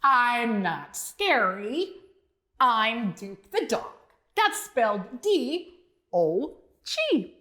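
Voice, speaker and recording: A woman's voice: fairly high pitch, very animated, very slow, bright, forceful tone, exaggerated voice. A woman voicing an eager, good-hearted male rescue dog in a children's storybook, with a howling, exclaiming delivery. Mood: friendly. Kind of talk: children's storybook character reading.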